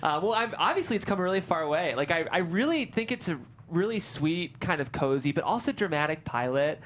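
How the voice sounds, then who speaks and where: A woman's voice: on the low side, big pitch swings, a little fast. A woman hosting in a studio, reading stage directions and interviewing writers. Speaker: host and script narrator.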